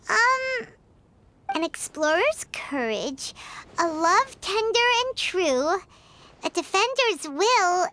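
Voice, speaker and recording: A woman voicing a tiny, childlike fairy companion in a video game, squeaky, perky and cheeky. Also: heavily acted. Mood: puzzled. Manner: perky, refers to self in third person. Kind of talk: animated video game character acting. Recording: loud music behind the voice.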